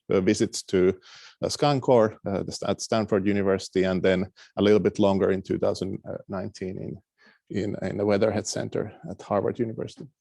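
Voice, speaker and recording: A man's voice: low-pitched, creaky, warm tone, no room sound, studio quality. A middle-aged man from Finland with a Finnish accent, giving an academic lecture over a video call, hesitant with many fillers and restarts. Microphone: video call microphone.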